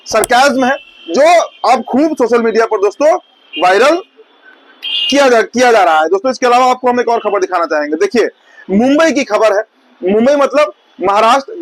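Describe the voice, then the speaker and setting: Very high-pitched male news presenter. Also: expressive, normal speed, rough recording. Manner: conversational, explanatory, repeats and rephrases points. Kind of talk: informal news commentary addressed to viewers.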